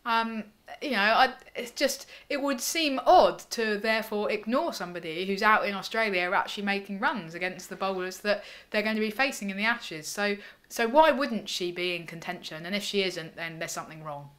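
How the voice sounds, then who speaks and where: A woman with a croaky, cold-affected voice, hosting a casual sports podcast discussion. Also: medium pitch, normal speed, a bit bright. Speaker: podcast host.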